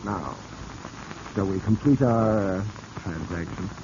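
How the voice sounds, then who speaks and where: An actor playing a smooth, insinuating, commanding male blackmailer in a 1940s radio drama. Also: low-pitched, takes it slowly. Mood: hard, threatening. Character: scheming male blackmailer, smooth, menacing, falsely sweet to women. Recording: muffled, noisy vintage 1940s radio recording.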